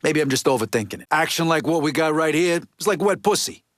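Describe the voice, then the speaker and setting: Game role, male actor: gruff middle-aged American male mob underboss, impatient and streetwise, slightly higher voice, very dry sound. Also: lively intonation, quick pace, dry recording, close to an everyday voice. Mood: pushy. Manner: blunt, profane, streetwise wiseguy talk. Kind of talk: video game dialogue acting.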